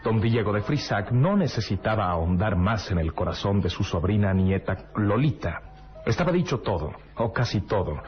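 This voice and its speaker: A man's voice: on the low side, lively intonation, normal speed. A man narrating an old-time radio drama in a formal scripted style. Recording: dull old radio recording, music behind.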